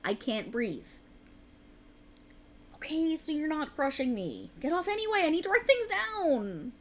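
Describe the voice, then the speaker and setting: A woman's voice: high-pitched, big pitch swings, measured pace. A woman acting a sleep-deprived, stubborn writer girlfriend in a conversational ASMR roleplay, with a teasing, dramatic, bantering manner. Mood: stubborn, defensive.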